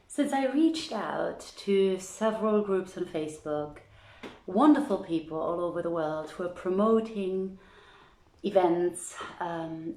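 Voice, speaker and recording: A woman hosting an online reading with an informal welcome, speaking in short phrases with pauses. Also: mid-range voice. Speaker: host and reader of a story series. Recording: echoey room.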